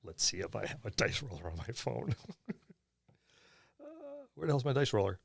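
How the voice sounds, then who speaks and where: A male podcast host with a medium-pitched voice chats casually about tabletop role-playing. He rambles through examples, and the sound is dry with no room echo. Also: wildly swooping pitch, moderate pace, creaky. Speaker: podcast host and experienced game master.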